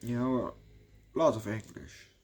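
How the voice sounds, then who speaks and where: A Dutch-accented man talks through a movie review in a loose, rambling way. He swears freely and fills his sentences with "you know" as he wanders off topic and back. His voice sits at an ordinary middle pitch. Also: a little slow, darkish tone. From Netherlands.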